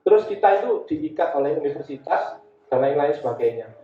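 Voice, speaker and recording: A man's voice: fairly high pitch, lively intonation, a little fast. A man with an East Javanese Indonesian accent giving an informal talk as a guest speaker, telling personal anecdotes in a conversational way and repeating phrases. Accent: Javanese Indonesian, East Java. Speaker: guest speaker, community education founder. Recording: dull, echoey, distant from the mic.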